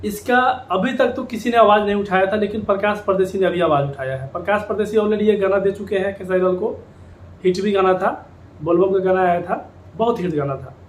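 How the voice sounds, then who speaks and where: A man's voice: high-pitched, brisk. A man giving informal opinion commentary to viewers, repeating phrases for emphasis. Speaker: YouTube commentator on Bhojpuri music industry. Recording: echoey room with background noise.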